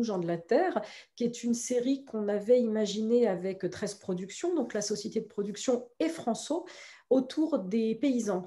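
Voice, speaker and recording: A woman's voice: on the high side, dark tone. A woman film director with a cold and a nasal, congested voice, explaining her subject eagerly, with many fillers and restarts. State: has a cold. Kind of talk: interview answers.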